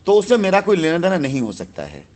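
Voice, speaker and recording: A man's voice: high-pitched, wildly swooping pitch, fast talker, noisy background. A man speaking with authority as a company boss mediating a dispute on a recorded phone call, often cutting in and repeating himself.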